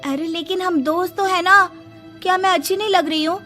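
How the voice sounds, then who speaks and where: Casting: wistful cartoon daughter, piping and sharp, pleading played by a woman. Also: very high-pitched, steady tone, brisk, soft background music.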